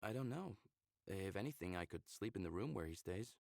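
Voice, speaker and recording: Visual novel human lead, candid and curious, casual flirty delivery, lowish gravelly voice, very dry sound. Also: male voice, expressive, brisk, darkish tone, no room sound. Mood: hesitant, curious.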